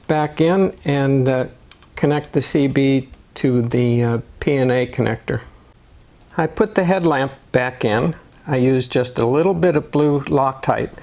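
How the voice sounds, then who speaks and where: A man narrating step-by-step DIY instructions in a measured, matter-of-fact way. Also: medium pitch, a little mellow. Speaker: motorcycle DIY video host.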